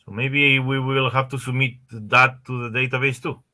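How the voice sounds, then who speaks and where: Bright-toned man on a webinar panel. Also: medium pitch, level tone. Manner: halting, repeats words. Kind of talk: answering questions on a video call. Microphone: video call.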